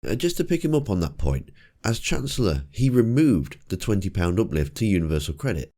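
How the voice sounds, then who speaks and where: A man with a croaky, cold-roughened voice, giving casual commentary with dry, wry asides. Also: on the low side, very animated, a little fast, warm tone. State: hoarse from a cold. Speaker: political commentator. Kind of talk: casual commentary over clips.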